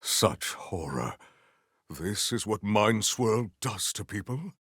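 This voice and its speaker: A man voicing a stoic, honourable warrior in a video game, speaking in formal, stately phrases with a gravelly voice. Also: fairly low pitch, expressive, a bit bright, no room sound, studio quality, full character voice. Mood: pondering. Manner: formal, stately, measured diction.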